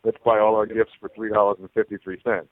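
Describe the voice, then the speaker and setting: A man's voice: fairly low pitch, flat delivery, quick pace, no echo. Man, late 30s, comedian guest, easygoing, rambling, tinny phone-line sound. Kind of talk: casual chat.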